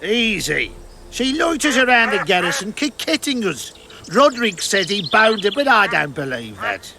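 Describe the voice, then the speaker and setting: High gravelly soldier, jumpy and accusing, pitch all over the place, fantasy game. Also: male voice, big pitch swings, normal speed, crowd noise. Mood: agitated, accusing. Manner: agitated, accusing. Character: suspicious, cowardly garrison soldier. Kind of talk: video game voice acting. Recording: faint birdsong and outdoor ambience.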